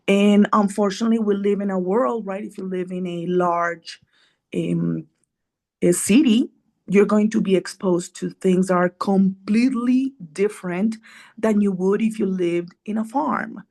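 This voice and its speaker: A woman's voice: mid-range voice, a little mellow. Middle-aged woman explaining science. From Colombia. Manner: earnest, keeps checking in with "right?". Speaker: immunologist guest. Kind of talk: explanatory podcast interview.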